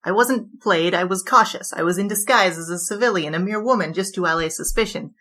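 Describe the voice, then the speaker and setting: An actress playing a boastful, flamboyant female bandit king in an audio drama, with a theatrical, grandiose, quick-witted delivery. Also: medium pitch, fairly bright tone, close to an everyday voice.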